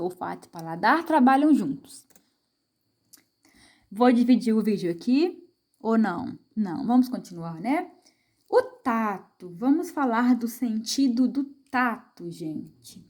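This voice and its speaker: A woman's voice: a little high, darkish tone. A middle-aged Brazilian woman teaching a science lesson to children, chatty and full of tag questions, asking and answering her own questions. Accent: Brazilian Portuguese. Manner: frequent tag questions to the viewer.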